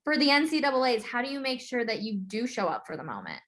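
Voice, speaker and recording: A woman with an American accent hosts a sports podcast. Her voice is bright and crisp in tone and a bit high, with an informal, chatty delivery. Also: wildly swooping pitch, fast talker. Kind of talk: casual conversational interviewing.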